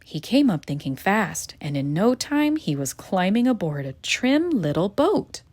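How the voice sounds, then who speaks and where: Lively, expressive woman reading aloud. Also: mid-range voice, unhurried. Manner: expressive, gentle storytelling. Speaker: storybook reader for children. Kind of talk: children's read-aloud narration.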